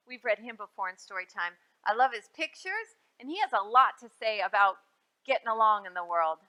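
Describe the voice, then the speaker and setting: A woman hosting a storytime for young children, reading aloud in a sing-song way with drawn-out, emphasized phrases and simple prompts to her listeners. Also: on the high side, bright, forceful tone. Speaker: children's librarian and storytime host.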